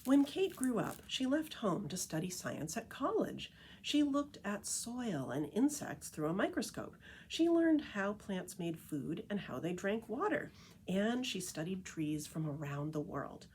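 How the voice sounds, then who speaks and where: A woman reading a children's picture book aloud as a storyteller, with expressive, deliberate emphasis on key phrases. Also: mid-range voice, a little hiss or hum.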